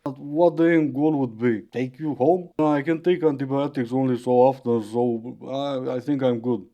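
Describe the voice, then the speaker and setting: A slightly hoarse man giving mocking, dry, sarcastic commentary on video clips. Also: mid-range voice, dark tone, muffled recording. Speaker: reaction commentator.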